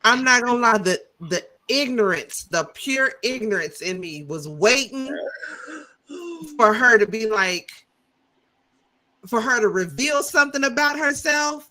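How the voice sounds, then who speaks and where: A woman's voice: fairly high pitch, lively intonation, unhurried, sharp tone, low-quality recording. A woman co-hosting a casual reality TV review who gives candid opinions and speaks straight to the listeners. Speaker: podcast co-host.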